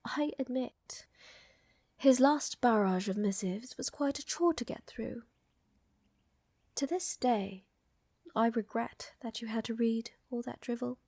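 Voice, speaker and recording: A woman plays an aristocratic vampire countess for an intimate ASMR roleplay. She speaks very slowly in a fairly high yet mellow voice, softly breathy and often near a whisper. Her manner is elegant, doting and teasing, like a protective lover soothing her beloved. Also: dry recording. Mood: tender, flirtatious. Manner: teasing, soothing, elegant formal phrasing with sighs.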